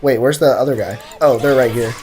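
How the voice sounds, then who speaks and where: A young man streaming a video game, giving excitable, joking commentary and answering his chat. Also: medium pitch, brisk, faint music behind. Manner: excitable, joking, trash-talking. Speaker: gaming streamer. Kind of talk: live game commentary, chatting with viewers.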